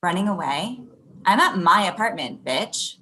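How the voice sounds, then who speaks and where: A woman's voice: on the low side, animated, a little slow, pushed and bright, slight background noise. A young woman acting a hurt, confrontational girlfriend in a naturalistic script read over a video call. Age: young adult. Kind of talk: acted pilot table read, naturalistic. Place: small room at home, video call. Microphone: computer video-call mic.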